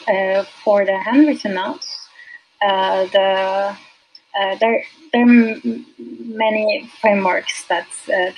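A woman whose first language is Turkish, a UX designer as a podcast guest, talking hesitantly with many fillers and soft laughs. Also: medium pitch, creaky, breathy edge, low-quality recording. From Turkey. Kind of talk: casual interview.

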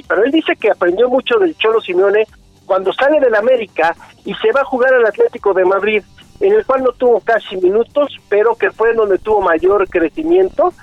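A man's voice: high-pitched, no echo, phone-quality sound. A Mexican man in his forties, chatting casually about sports on a radio show, rambling a bit with restarts. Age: 40s. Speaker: sports commentator.

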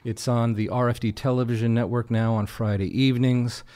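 A man's voice: mid-range voice, little pitch movement, fairly quick, dark tone. A man speaking as a guest folk musician in a casual interview, explaining things earnestly. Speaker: guest folk singer-songwriter and broadcaster.

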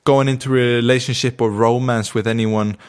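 A man's voice: mid-range voice, fairly even intonation, brisk, smooth. A young man with an Icelandic accent tells a personal story in a reflective, spiritual way, with many fillers and restarts.

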